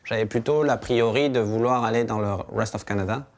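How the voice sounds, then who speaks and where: A man, telling his immigration story in a relaxed testimonial interview, with hesitant fillers. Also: medium pitch, fairly quick. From France. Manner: relaxed, hesitant with fillers, animated when quoting.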